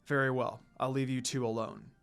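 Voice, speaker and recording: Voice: medium pitch, fairly even intonation, moderate pace, vocal fry, clean tone, pitched below the performer's own voice, lightly acted. A storyteller voicing a stern, protective demon husband with a brooding, menacing manner in a fan fiction reading. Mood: grudging, threatening.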